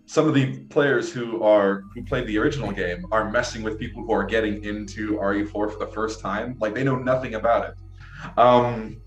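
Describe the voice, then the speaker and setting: A young man hosts a video-call interview, eager and rambling, tripping over his restarts. His voice sits a bit low and bounces around an echoey room, with faint music under it. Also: steady tone, normal speed. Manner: enthusiastic, rambling, many restarts. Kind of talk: casual interview chat. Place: small room, indoors. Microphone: video call, earbuds.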